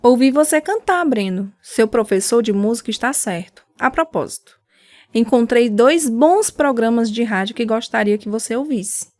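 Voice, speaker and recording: Actress as high-voiced devout, weary mother. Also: animated, normal speed, a little mellow, dry recording, clean studio sound, lightly acted. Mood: encouraging. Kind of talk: radio drama acting.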